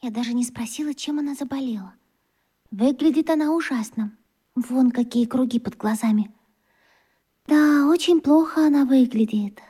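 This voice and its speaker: A woman's voice: high-pitched, normal speed, dark tone, slightly rough. A woman playing a small, eager, chatty schoolgirl of about eleven in a children's radio play. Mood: concerned.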